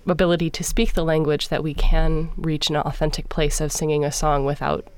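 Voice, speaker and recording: Woman, low voice, smooth and clear, hesitant, reflective, dry sound. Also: flat delivery, fairly quick, a little mellow, dry recording. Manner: thoughtful, searching for words with many fillers. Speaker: singer. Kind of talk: interview conversation.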